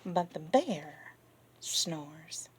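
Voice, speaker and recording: A woman reading a children's picture book aloud, talking directly to the kids and dropping to a soft whisper on the refrains. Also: deep voice, heavy background noise. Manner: slow, expressive, talks directly to children.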